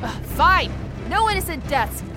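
An actress playing a conscientious, exasperated young fighter in a radio drama, with a sarcastic, protesting manner. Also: female voice, very high voice, sing-song, measured pace, over loud music, heavily acted.

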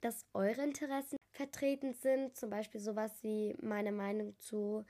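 A woman's voice: mid-range voice, level tone, normal speed, warm tone. A teenage girl hosting her own podcast, chatting earnestly to her listeners while stuffed up with a cold. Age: teens. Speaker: podcast host. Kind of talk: casual solo podcast talk.

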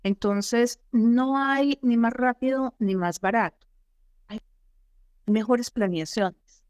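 A woman's voice: on the high side, slow pace, darkish tone, dry recording, clean studio sound. A middle-aged woman, an immigration consultant co-hosting a talk show, explaining her points emphatically and often asking rhetorical questions. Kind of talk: advisory talk show chat.

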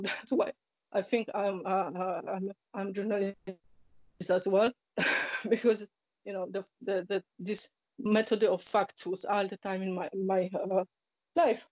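A woman's voice: mid-range voice, dry recording. Polish woman on a dull online call. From Poland. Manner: hesitant, many fillers, nonnative grammar, frequent soft laughs. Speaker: interview guest. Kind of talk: personal story in casual conversation. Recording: dull, lo-fi internet call audio.

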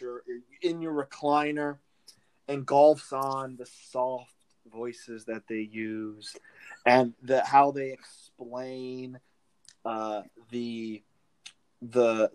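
Slow-talking laid-back young man. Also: mid-range voice, smooth, low-quality recording. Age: 20s. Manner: laid-back, drawn-out musing. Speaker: podcast co-host. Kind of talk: casual sports podcast chat.

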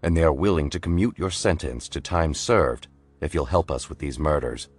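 A man's voice: a bass voice, moderate pace. A man playing an earnest police commissioner. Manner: earnest, insistent. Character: weary middle-aged male police commissioner. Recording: faint music behind.

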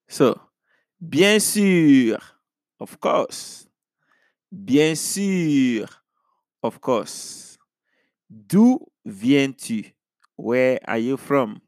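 A man teaching French phrases, saying each one slowly and then giving the meaning. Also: mid-range voice, no room sound, clean studio sound. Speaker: language teacher. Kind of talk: repeat-after-me French phrase lesson.

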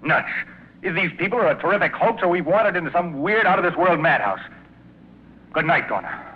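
A man's voice: fairly high pitch, expressive, brisk, slightly rough. An actor playing an impatient, sarcastic male prisoner, brusque and sardonic, in a 1950s radio drama. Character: impatient, sarcastic male captive, captain's sidekick. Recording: muffled, noisy old radio broadcast.

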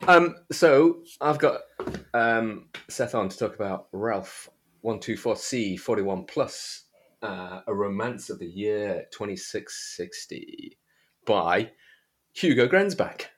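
A man's voice: on the low side, expressive, slow pace, fairly bright tone, husky. A man hosting a podcast in a casual, chatty style. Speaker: podcast host. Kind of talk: casual chat.

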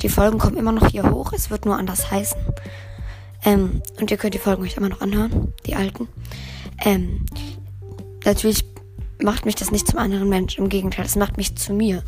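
A hoarse teenage girl hosting her own podcast, chatting casually and rambling, often correcting herself. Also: mid-range voice, little pitch movement, normal speed, pauses often, darkish tone, faint music behind. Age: teens. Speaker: podcast host. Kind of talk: casual solo podcast chat.

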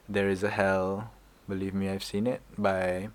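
Deep-voiced Malaysian man chatting. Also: flat delivery, a little slow. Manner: joking, rambling with fillers. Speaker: podcast co-host. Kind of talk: casual chat mixing English and Malay.

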